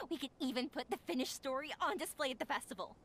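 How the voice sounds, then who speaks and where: A woman voicing a bright, friendly, energetic young shopkeeper in an anime game, expressive and encouraging. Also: high voice, fairly quick, slight background noise. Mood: upbeat, encouraging.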